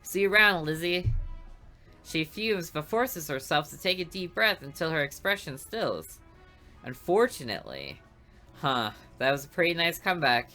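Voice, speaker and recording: A woman's voice: low-pitched, sing-song, moderate pace, a bit bright, faint music behind. A woman reading a visual novel aloud, narrating in a dry, sarcastic first person.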